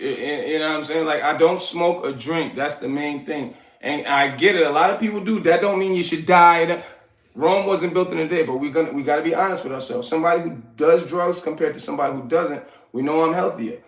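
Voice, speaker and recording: A man, hosting a casual livestream, giving hyped shout-outs to viewers and rambling in streetwise slang. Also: fairly high pitch. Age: 40s. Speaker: livestream host. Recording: echoey room.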